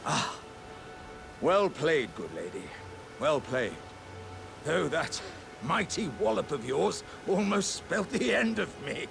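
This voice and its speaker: Hearty, swooping warrior jar. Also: male voice, fairly high pitch, very animated, takes it slowly, creaky, pauses often, over music, full character voice. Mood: grateful. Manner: hearty, courtly, old-fashioned theatrical phrasing. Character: jovial, polite, boastful living warrior jar, eager and good-natured. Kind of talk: video game character dialogue.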